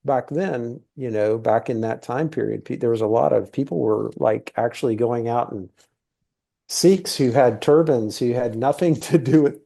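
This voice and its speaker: A man's voice: mid-range voice, moderate pace, vocal fry, dark tone. A middle-aged man who grew up in Thailand, talking casually in an interview with lots of fillers. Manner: easygoing, rambling with many fillers. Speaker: guest, TV series producer.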